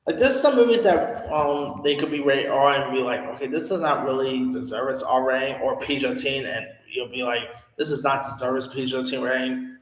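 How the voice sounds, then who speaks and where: A man's voice: mid-range voice, fairly quick. A man giving a casual, rambling film review, full of fillers and restarts. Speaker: movie reviewer. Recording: echoey room with long reverb.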